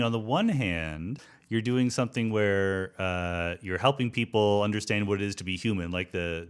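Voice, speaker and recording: A man with a fairly low voice speaks as a podcast host. He explains ideas in a conversational way, dropping in rhetorical asides as he goes. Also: clean tone. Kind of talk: conversational monologue and interview.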